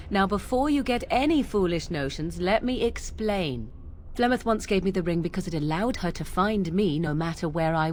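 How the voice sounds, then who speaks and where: A woman voicing a proud, guarded witch in a fantasy game, with a haughty, deliberate, formal way of speaking. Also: lowish voice. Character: proud, guarded witch with aloof, archaic speech. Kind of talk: fantasy game dialogue acting. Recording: faint background noise.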